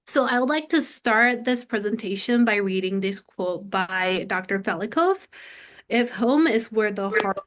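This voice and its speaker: A woman's voice: medium pitch, measured pace, fairly bright tone, low-quality recording. A woman from South Korea, a native Korean speaker in her thirties, giving an academic lecture over a video call in an earnest way with frequent "um" fillers. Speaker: guest lecturer.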